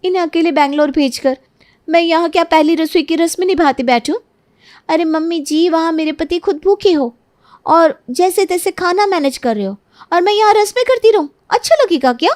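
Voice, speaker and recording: A woman's voice: very high voice, lively intonation, moderate pace, darkish tone. A woman playing a self-centred, cunning young newlywed wife in a Hindi cartoon story, pert and argumentative. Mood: indignant.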